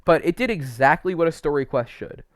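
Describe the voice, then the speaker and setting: A man's voice: fairly high pitch, animated, brisk, no room sound, clean studio sound. A young man giving casual, unscripted video game commentary in an informal, enthusiastic way, with some mild swearing.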